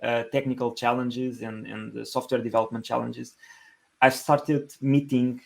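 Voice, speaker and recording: A male podcast guest with a Portuguese accent and plenty of vocal fry. He speaks conversationally at an average male pitch, often stalling and repeating words as he shapes his answers. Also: flat delivery, moderate pace. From Portugal, Lisbon area. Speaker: podcast guest, engineering manager.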